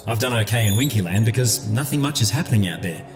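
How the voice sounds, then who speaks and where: An actor playing a loyal, reassuring emperor and old friend in a fantasy audio drama, earnest and insistent. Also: male voice, on the low side, expressive, fast, faint music behind, lightly acted. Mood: sincere, friendly.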